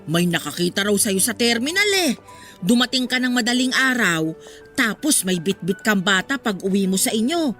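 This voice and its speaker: Nosy older village gossip. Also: female voice, high voice, sharp tone, full character voice. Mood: prying. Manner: prying, falsely concerned. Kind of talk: Tagalog radio drama acting. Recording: background music under dialogue.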